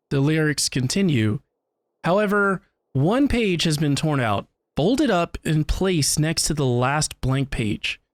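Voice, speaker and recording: A man streaming a game, reading its text aloud in a deliberate, expressive, dramatic way. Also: fairly high pitch, no echo, studio quality.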